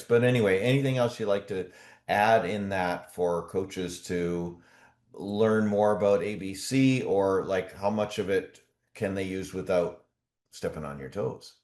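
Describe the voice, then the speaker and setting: A man with a very deep voice hosts a casual interview over a video call. He grew up in Canada speaking German first and affirms his guest often with easy laughter. The sound is roomy and echoey. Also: a little mellow. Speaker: podcast host.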